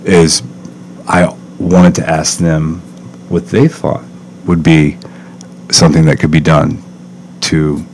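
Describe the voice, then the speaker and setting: A man hosting a podcast in a studio, with a booming voice that gets loud when excited, talking in halting bursts full of fillers. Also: a little hiss or hum. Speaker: podcast host. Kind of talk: casual interview chat. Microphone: close to a studio mic.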